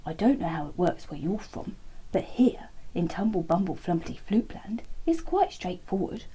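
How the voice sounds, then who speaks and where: Woman doing a calm, knowing storybook friend. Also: on the low side, slightly rough, whispered, rough recording. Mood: patient. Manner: slow, calm explaining.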